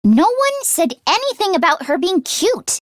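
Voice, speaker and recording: Very high-pitched nervous teenage game girl. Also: unhurried, no echo, clean studio sound, heavily acted. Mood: puzzled, casual. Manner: expressive, casual youthful phrasing. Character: teenage girl, nervous, queasy, handy academy fighter. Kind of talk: English video game voice acting.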